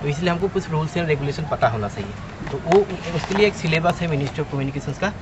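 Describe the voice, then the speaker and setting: A man's voice: fairly high pitch, expressive, fast. A middle-aged man with an Indian accent giving an informal explanatory talk as a ham radio trainer, using English terms and everyday examples. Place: outdoors at an urban portable radio station. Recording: muffled outdoor recording, clear traffic noise.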